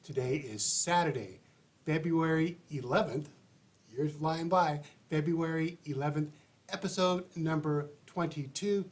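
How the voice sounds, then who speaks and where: A man's voice: fairly high pitch, unhurried. A man hosting an advice show, sounding congested and stuffy-nosed, talking in a rambling, chatty way with restarts. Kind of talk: casual livestream monologue.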